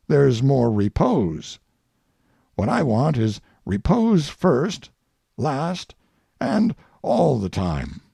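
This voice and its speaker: A man plays a wry, pleased-with-himself humorist at the banquet lectern, in an audiobook reading. His gravelly, mellow voice takes its time, pausing for oratorical effect and lifting and dropping for droll emphasis. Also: mid-range voice, no echo, studio quality, heavily acted. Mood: droll, assured. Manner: droll, deliberate, oratorical pauses. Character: wry, self-satisfied male humorist giving a banquet speech. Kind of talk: after-dinner speech reading.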